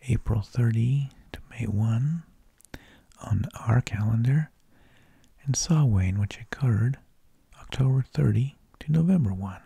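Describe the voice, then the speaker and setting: A man narrating in a soft, breathy ASMR whisper, with slow, deliberate phrasing, close to the microphone. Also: lowish voice, no echo. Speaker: ASMR host.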